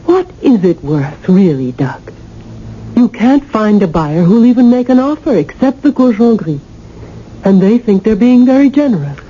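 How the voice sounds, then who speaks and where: An actress playing a cool, seductive mistress with sinister connections in a 1980s radio drama, measured and knowing. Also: female voice, fairly high pitch, sing-song. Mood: calculating. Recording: muffled, noisy old radio broadcast.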